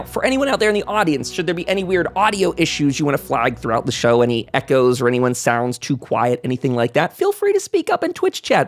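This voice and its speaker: Male host for a gaming round-table stream: informal, talkative, very animated pitch. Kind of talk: chatty livestream hosting.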